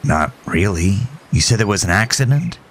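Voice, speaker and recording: A man voicing a dazed, hesitant concussed composer who speaks casually and haltingly in an audio horror story. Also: on the low side, animated, voice pushed up.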